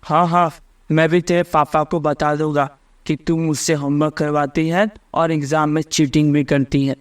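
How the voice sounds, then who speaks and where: Man voicing an earnest, high-pitched schoolboy. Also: little pitch movement, normal speed, natural voice. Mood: defiant. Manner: earnest, plain-spoken. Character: earnest, poor, studious schoolboy standing up for himself.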